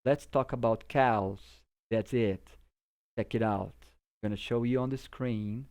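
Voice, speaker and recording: A man's voice: medium pitch, fairly even intonation, unhurried, darkish tone, clean tone. A Brazilian man, a native Portuguese speaker, giving an informal English vocabulary lesson in simple explanations, often checking that the listener follows. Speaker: English teacher.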